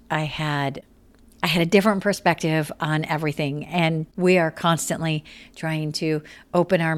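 A woman's voice: low voice, no room sound. A woman co-hosting a podcast interview, speaking in an earnest, heartfelt way. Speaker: podcast co-host.

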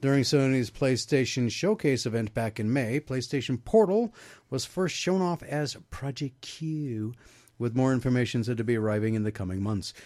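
A man hosts a radio show from a studio, moving between loose chat and reading news items aloud. He often restarts a sentence partway through. His voice sits at an ordinary middle pitch and sounds close and dry, with no room echo. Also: moderate pace, a little mellow.

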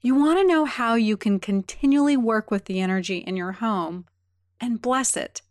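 A woman's voice: a little high, normal speed. A woman hosting an interview podcast, chatting upbeat and enthusiastically with her guest. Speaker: podcast host.

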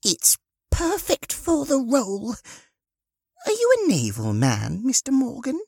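A woman playing a friendly party hostess in audiobook dialogue, speaking in a lively, expressive way. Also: fairly high pitch, a little slow, breathy edge, no room sound, studio quality, exaggerated voice. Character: Mary, friendly woman hosting a children's Christmas party.

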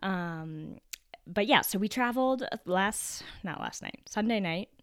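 Raspy-voiced young woman podcasting with a cold. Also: lively intonation, a little slow, no echo, clean studio sound. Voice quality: raspy, deeper than usual. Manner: chatty and rambling, frequent ums. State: hoarse from a cold. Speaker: podcast host. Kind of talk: casual solo podcast.

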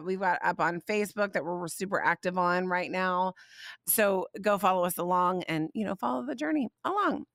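A woman's voice: medium pitch, clean tone, no room sound, studio quality. Chatty woman with a slightly scratchy voice. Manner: chatty, warm-hearted, animated storytelling. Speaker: podcast host. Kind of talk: casual faith podcast chat.

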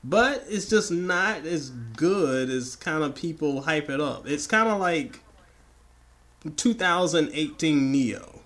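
A man from the American South co-hosting a podcast, hoarse and raspy from the flu, joking and opinionated in casual chat. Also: on the high side, animated, takes it slowly, a bit bright. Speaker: podcast co-host.

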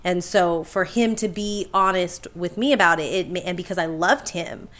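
A woman with a fairly low voice talks candidly on a podcast. Her tone is reflective and easygoing, and she laughs easily. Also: moderate pace, a bit bright. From Puerto Rico. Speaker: podcast guest. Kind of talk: casual podcast conversation.

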